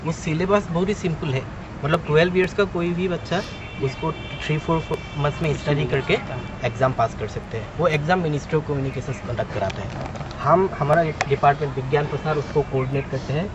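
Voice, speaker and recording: Middle aged indian guy explaining radio stuff casually, bit high voice, noisy street behind. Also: a little fast. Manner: explains with everyday examples. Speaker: ham radio volunteer and trainer. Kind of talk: informal explanatory talk mixing in English terms. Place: outdoors at an urban portable radio station. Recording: muffled outdoor recording, clear traffic noise.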